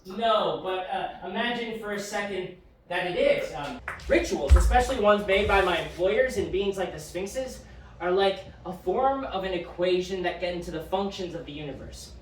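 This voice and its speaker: A man's voice: sits high, animated, moderate pace. A man playing an evasive, nervous otherworldly agent in a science fiction audio drama, hesitant and stammering. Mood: explaining. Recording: very echoey room, distant mic, faint noise.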